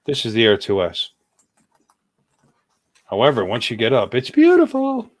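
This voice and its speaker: A man's voice: mid-range voice, wildly swooping pitch, normal speed. A middle-aged man hosting a casual livestream chat in a playful, rambling, joking way. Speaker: livestream host.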